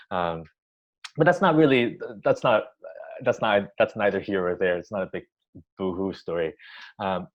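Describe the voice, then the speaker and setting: A man, born in Taiwan, giving thoughtful interview answers with many pauses, restarts and ums. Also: fairly low pitch. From Taiwan, raised in the US. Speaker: orchestra music director. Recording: dull lo-fi sound.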